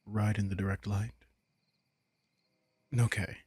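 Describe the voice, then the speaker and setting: A man's voice: fairly low pitch, level tone, slow, darkish tone, breathy edge. A man playing a caring vampire king in an intimate roleplay audio, speaking in a casual, teasing, protective way. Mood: concerned, gentle. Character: commanding but caring male vampire king.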